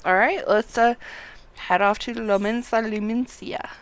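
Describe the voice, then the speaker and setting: A woman's voice: on the high side, fairly even intonation, measured pace, smooth. A woman streaming a game, giving casual live commentary and thinking aloud in a self-mocking way.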